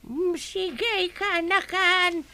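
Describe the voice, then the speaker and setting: A woman voicing a fussy old village woman in a radio comedy drama, with a shrill, drawn-out voice. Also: steady tone, sharp tone, heavily acted. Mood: chiding.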